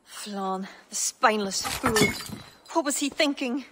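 High-voiced, bitter druid woman played by an actress. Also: very noisy, natural voice. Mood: bitter contempt. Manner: slow, weighty, dramatic delivery. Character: bitter, defiant druid woman, grieving and fiercely protective of her people. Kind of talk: dramatic video game acting.

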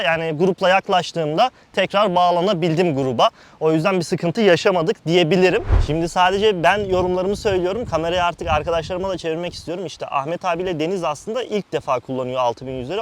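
A man talking casually to viewers and friends as a motorcycle vlogger, hosting the video. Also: sits high. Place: outdoors, on a motorcycle and at a windy roadside. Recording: noisy outdoors, wind and engine noise.

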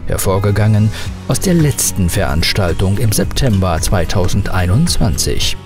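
Guy narrating an event doc, bit wry, low voice, music under it. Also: very animated, normal speed. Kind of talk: scripted documentary voice-over.

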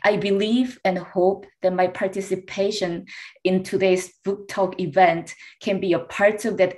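A woman in her thirties whose first language is Korean, reading prepared panel remarks in a measured, formal way over a video call. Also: lowish voice, darkish tone. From South Korea. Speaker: panelist, researcher.

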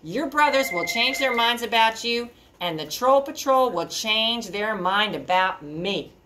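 A woman voicing a gentle, artsy male troll who tries to sound mean and chats warmly in a lively storybook voice. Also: on the high side, measured pace, noisy background, voice pushed up. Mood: kind. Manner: chatty storybook voice, shouts threats half-heartedly.

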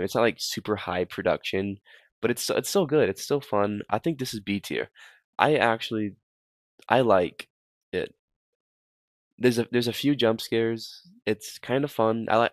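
A man's voice: fairly low pitch, dry recording, studio quality. A young man giving casual, rambling reaction commentary as a host, often repeating his phrases. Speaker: host ranking horror videos.

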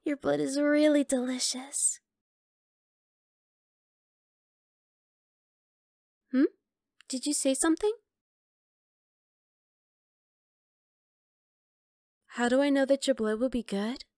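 Woman acting a high-voiced, teasing vampire girl. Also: fairly even intonation, normal speed, a little mellow, no echo, clean studio sound. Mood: satisfied, chatty. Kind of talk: ASMR roleplay.

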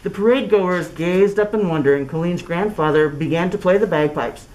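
A middle-aged woman reading a children's story aloud through a cloth mask in an expressive, deliberate way. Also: lowish voice, a little hiss or hum, low-quality recording. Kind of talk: read-aloud storybook narration. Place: small indoor classroom. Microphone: camera a few feet away, speaking through cloth mask.

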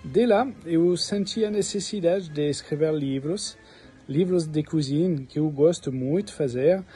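A man in his forties with a French accent, a private chef telling his life story to a phone held at arm's length outdoors, speaking slowly with many "eh" pauses. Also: on the high side, dark tone, soft background music. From France. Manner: slow and halting, with frequent "eh" pauses. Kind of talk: personal story to camera. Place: outdoors by a stone wall with plants. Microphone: phone held at arm's length, selfie framing.

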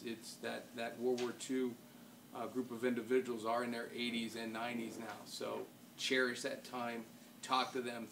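An American man chairing a council meeting, speaking formally with informal asides and halting, repeated words. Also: mid-range voice, gravelly, a bit bright. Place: wood-paneled council chamber. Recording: distant room microphone, faint background noise.

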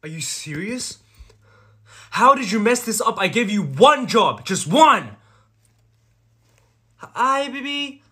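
A man's voice: mid-range voice, expressive, a little fast, slightly rough. A man playing a crime boss boyfriend in a roleplay skit, doting on his girlfriend with baby talk and menacing toward everyone else. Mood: furious, threatening. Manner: switches between sugary baby talk and cold threats.

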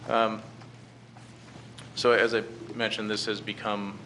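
A middle-aged American man giving a halting, measured formal briefing at a podium microphone. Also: fairly low pitch, little pitch movement, bright, forceful tone. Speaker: presenter to a city council. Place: council chamber, standing at a podium. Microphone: podium gooseneck microphone. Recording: clearly noisy meeting-room recording.

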